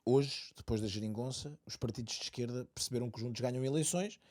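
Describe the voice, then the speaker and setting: A man's voice: mid-range voice, fast talker, a little mellow. A man with a European Portuguese accent hosts a casual podcast interview. His voice sits in a mid range for a man. He builds long questions in several parts before handing the floor to his guest. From Santarém, Portugal. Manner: asks long, multi-part questions. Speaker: podcast host.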